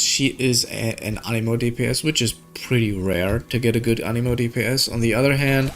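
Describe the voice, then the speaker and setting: A man's voice: mid-range voice, fairly bright tone. A man talking casually as a game streamer, thinking aloud in informal, slangy language. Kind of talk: casual gaming stream chat.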